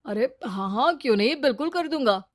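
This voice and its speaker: A man voicing an arrogant, fickle, smooth-talking husband in a Hindi cartoon story, recorded dry. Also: extremely high pitch, lively intonation, brisk, no echo. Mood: eager.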